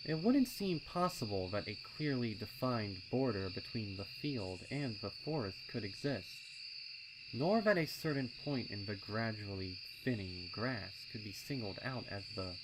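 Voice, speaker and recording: A man's voice: on the low side, heavy background noise. A man reading a scripted story as narrator. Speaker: narrator reading fan fiction. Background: crickets, insects, owl sound effects.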